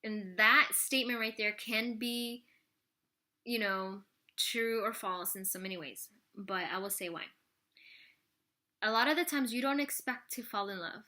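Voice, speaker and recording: Woman, 30s, vlogger, bright chatty voice, rambling, casual Q&A to camera. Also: fairly high pitch, measured pace. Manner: rambling, full of "like" and "you know". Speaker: vlogger answering viewer questions.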